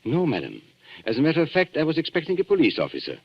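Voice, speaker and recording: An actor playing an urbane, cynical antique dealer in a 1940s radio drama, polished and theatrical in manner. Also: male voice, on the high side, wildly swooping pitch, quick pace, slightly rough, full character voice. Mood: courteous, reserved. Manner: polished, theatrical, courteous and sardonic. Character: urbane, cynical male London antique dealer, worldly storyteller. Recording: muffled vintage 1940s radio broadcast, music.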